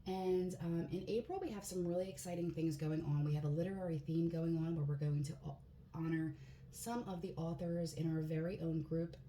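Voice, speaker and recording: A woman with a hoarse voice giving a casual tarot card reading in a relaxed, chatty way, with plenty of fillers. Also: low voice, brisk. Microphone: phone. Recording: echoey room, faint background hiss.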